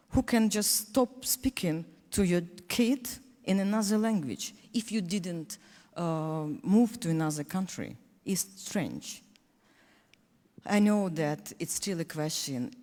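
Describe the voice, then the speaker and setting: A woman from Donetsk with a Ukrainian accent, a native Russian speaker, speaking as a panelist in earnest, halting English full of restarts. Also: mid-range voice, warm tone, in a large hall. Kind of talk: panel discussion speech.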